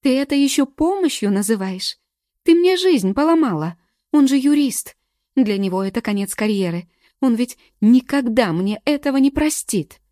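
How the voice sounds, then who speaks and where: A woman reading a distraught young woman who shouts accusations through tears. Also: fairly even intonation, fast talker, no echo, studio quality. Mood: accusing. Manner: shrill, accusing.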